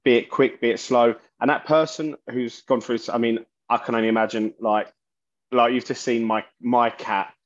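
A man, a musician guest in an interview, talking haltingly and often restarting his sentences. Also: medium pitch, steady tone. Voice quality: loud voice. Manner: halting, searching for words, many restarts. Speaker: musician guest, bassist and singer. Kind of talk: casual interview talk. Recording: dull lo-fi sound.

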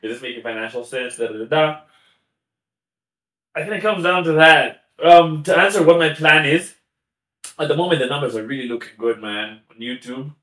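Higher-voiced man chatting in an echoey room. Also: moderate pace, pushed and bright. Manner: chatty, laughs often, restarts and asides. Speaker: guest YouTuber. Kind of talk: casual podcast conversation.

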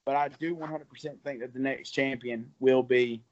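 A man's voice: medium pitch, fairly even intonation, normal speed, muffled recording. Young man talking loosely about fighting. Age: 20s. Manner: loose, rambling, laughs between thoughts. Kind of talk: casual interview talk.

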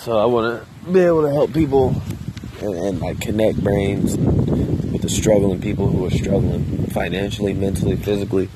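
A man talking to himself in a park in a quiet, subdued, hesitant way, with many restarts and casual swearing. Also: lowish voice, moderate pace, very noisy. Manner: loose and conversational with casual swearing. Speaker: vlogger. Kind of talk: casual solo monologue. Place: outdoors in a park.

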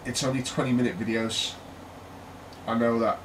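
A man's voice: mid-range voice, fairly even intonation, normal speed, a bit bright. A man with a British accent co-hosting a casual tech podcast, chatty and jokey, often breaking into laughter. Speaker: podcast co-host. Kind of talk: casual chat. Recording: distant mic with faint noise.

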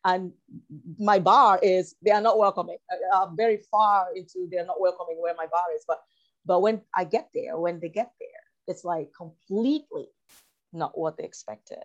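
A woman from Cameroon talking reflectively as an interview guest, halting and repeating phrases as she searches for her words. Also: lowish voice, dull audio. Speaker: interview guest, writer and actor.

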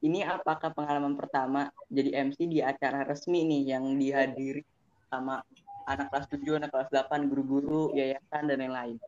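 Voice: mid-range voice, level tone, a little fast, a little mellow. A young interviewer with an Indonesian accent chatting casually as host, on a muffled, lo-fi recording. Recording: muffled lo-fi audio with faint noise.

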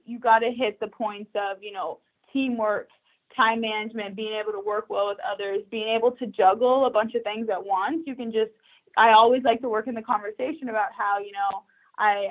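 Chatty young woman on a tinny line. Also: on the high side. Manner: chatty, upbeat, laughs often. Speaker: recruiter, podcast guest. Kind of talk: casual interview chat.

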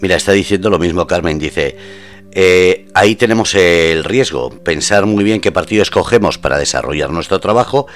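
A man's voice: low voice, moderate pace, faint music behind. A man hosting a conversational radio talk. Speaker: radio host.

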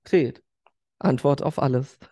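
A man's voice: medium pitch, slow, warm tone, dry recording, lo-fi sound. A man co-hosting a casual podcast, joking, crude and laughing often. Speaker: podcast co-host. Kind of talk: casual chat.

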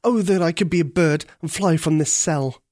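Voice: medium pitch, moderate pace, a little mellow, no room sound, clean studio sound, pitched above the performer's own voice, natural voice. A narrator voicing a noble young captive prince. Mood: amazed. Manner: formal, earnest pleading.

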